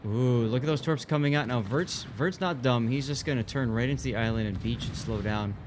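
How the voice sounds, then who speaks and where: A man's voice: mid-range voice, brisk, background noise. A man giving live play-by-play on an esports match in a casual, colloquial way. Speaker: esports co-commentator. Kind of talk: live play-by-play game commentary.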